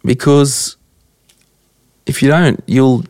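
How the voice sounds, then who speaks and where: A young Australian man with a croaky voice hosting a casual solo podcast at his desk, answering listener questions bluntly and chattily. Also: medium pitch, fairly even intonation, takes it slowly, darkish tone, dry recording, clean studio sound. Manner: chatty, blunt, rambling with fillers. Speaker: podcast host. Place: at a desk, indoors.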